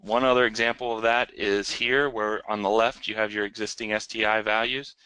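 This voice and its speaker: Male webinar presenter, lowish bright voice, dry close sound, halting unscripted technical delivery. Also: fairly even intonation, clear, resonant tone, no echo, low-quality recording. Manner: hesitant, halting delivery with repeated words.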